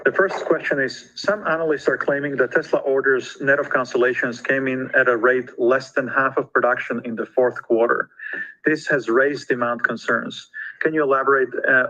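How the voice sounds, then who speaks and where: A man's voice: mid-range voice, fairly even intonation, normal speed, sharp tone. A man moderating a corporate call, reading out investor questions in a businesslike way. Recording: dull, radio-like conference call audio.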